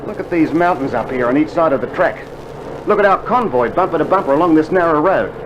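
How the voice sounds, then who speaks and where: A man's voice: a little high, expressive, fast, lo-fi sound, close to an everyday voice. An actor playing an earnest, irritable Australian infantryman itching for battle, with emphatic retorts, in a scripted mid-century radio drama. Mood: earnest, insistent. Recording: noisy old vinyl radio drama recording.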